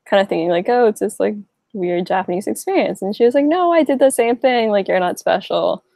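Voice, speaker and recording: Husky-low young woman, rambling answers. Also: animated, fairly quick, mellow, no echo, studio quality. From Hawaii. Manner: rambling, many likes and restarts. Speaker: interviewee. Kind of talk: casual interview.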